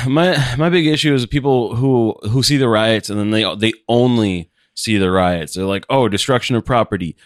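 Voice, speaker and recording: A man co-hosting a casual podcast, speaking in a calm, quiet, monotone voice that rarely gets loud. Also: mid-range voice, moderate pace, no room sound, clean studio sound. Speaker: podcast co-host. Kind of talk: casual chat.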